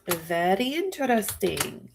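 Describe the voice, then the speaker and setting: A woman's voice: on the low side, big pitch swings, takes it slowly, creaky, background noise. A woman hosting a true crime livestream, musing aloud to her viewers with a stuffy nose. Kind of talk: casual live-stream commentary.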